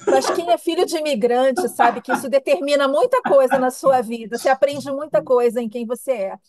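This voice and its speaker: Higher-voiced middle-aged carioca woman. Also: a little fast, a little mellow. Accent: Rio de Janeiro Brazilian Portuguese. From Rio de Janeiro, Brazil. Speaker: interview guest, human development professional. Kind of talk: conversational interview answers about herself.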